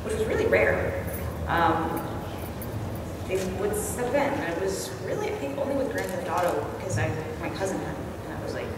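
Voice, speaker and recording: A woman's voice: lowish voice, big pitch swings, moderate pace, far from the mic. A young woman speaking casually as a panelist, chatty and laughing often, with plenty of fillers. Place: large convention hall with a live audience. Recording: echoey convention hall, crowd noise.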